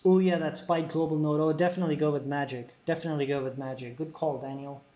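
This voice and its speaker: A male streamer talks casually while playing, answering viewers and filling pauses with "uh" and "um." His voice sits a little high for a man. The recording sounds dull and lo-fi. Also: steady tone, dark tone, a little hiss or hum. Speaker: game streamer.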